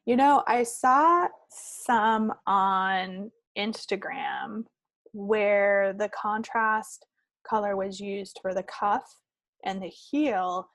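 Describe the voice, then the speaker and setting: A woman's voice: medium pitch, very slow, fairly bright tone, no echo. A woman co-hosting a podcast, chatting casually in a rambling, self-deprecating way. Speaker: podcast co-host. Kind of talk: casual chat about knitting projects.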